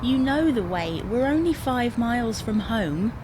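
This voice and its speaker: A British actress playing an exasperated middle-aged wife in a domestic audio sitcom, with dry, bossy, gently teasing delivery. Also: female voice, fairly high pitch, animated, a little slow, noisy background. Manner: dry, scolding, sarcastic asides.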